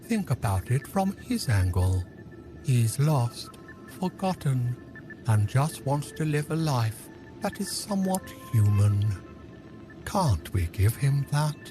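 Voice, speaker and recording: An actor playing a grandiose, controlling mastermind in an audio drama, speaking in a deliberate, theatrical way. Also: male voice, mid-range voice, very animated, music in the background. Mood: pleading, challenging. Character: controlling, grandiose male mastermind who reshapes realities. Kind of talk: scripted audio drama acting.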